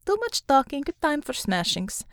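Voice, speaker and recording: Woman as a swaggering Russian superheroine, lively pitch. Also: moderate pace, no echo, studio quality. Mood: casual scorn. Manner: blunt, contemptuous, broken English. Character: swaggering young Russian superheroine, fierce and scornful.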